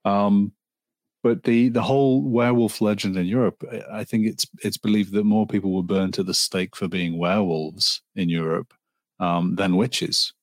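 A man in his forties with a Liverpool accent and a low, deep voice, telling old folk legends as a guest. He talks at an easy pace, loops back with ums and restarts, and the sound is dry and close with no room behind him. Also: fairly even intonation, normal speed, no echo. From Liverpool, England. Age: 40s. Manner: storytelling, with frequent ums and restarts. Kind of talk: conversational interview.

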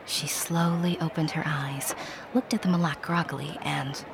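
A woman's voice: low-pitched, fairly even intonation, normal speed, vocal fry, heavy background noise. A woman giving scripted storybook narration, addressing the listener as a storyteller. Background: music.

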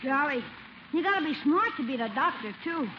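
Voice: high-pitched, animated, brisk. An actor playing an eager, cheeky young American boy, a blunt nephew in a 1950s radio sitcom. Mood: eager, curious. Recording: muffled, hissy old radio broadcast.

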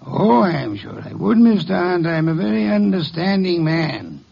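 An actor playing a shrewd, imperious detective genius in an old radio drama, speaking formally and deliberately with a gravelly, pompous delivery. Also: male voice, sits high, big pitch swings, heavily acted. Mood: stern, knowing. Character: shrewd, imperious gentleman detective genius, male, coolly taunting. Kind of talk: 1940s-50s radio drama acting. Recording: dull, lo-fi old radio recording.

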